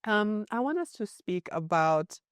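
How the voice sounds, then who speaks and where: A woman from Zambia hosts an interview podcast in a friendly way, nodding along with short affirmations. Her voice sits mid-pitch. The recording is close and dry. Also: sing-song, measured pace, smooth, no room sound. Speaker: podcast host. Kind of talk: casual interview talk.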